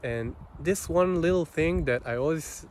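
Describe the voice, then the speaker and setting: Male vlogger, Israeli accent, Hebrew native; unhurried, halting, reflective delivery with long gaps, speaking off the cuff over noticeable background noise. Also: a little high. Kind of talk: unscripted personal monologue.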